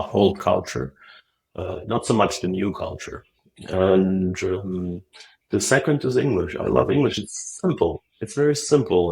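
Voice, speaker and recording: A middle-aged man from Moldova, a native Russian speaker, talking softly and haltingly with many fillers as a guest on a video call. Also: low-pitched, vocal fry, rough recording. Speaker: guest, Zen teacher. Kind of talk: relaxed interview talk. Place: dim wood-walled room.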